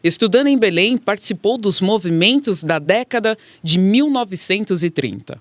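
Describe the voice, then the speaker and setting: A woman's voice: medium pitch, expressive, moderate pace, dry recording. Brazilian woman narrating, dry studio sound. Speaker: radio documentary narrator. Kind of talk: scripted narration.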